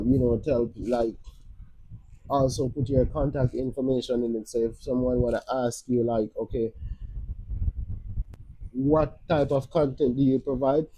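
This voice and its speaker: A man's voice: mid-range voice, mellow, a little hiss or hum, poor audio. A man with a Jamaican accent giving casual, rambling advice on a live stream, often restarting and filling with "uh" and "you know". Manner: rambling, many restarts and fillers. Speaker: livestream host.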